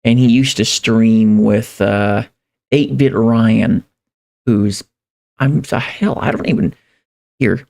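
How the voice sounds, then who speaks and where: A man livestreaming in a casual, rambling way, halting and repeating phrases as he thinks aloud. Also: lowish voice, fairly even intonation, creaky, a little mellow. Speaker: live streamer. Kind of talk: casual livestream chat, reading viewer comments.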